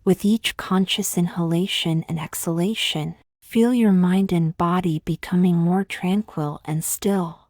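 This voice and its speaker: A woman reading a scripted guided meditation in slow, gentle, measured phrases with long pauses. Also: on the low side, steady tone, dry recording, clean studio sound.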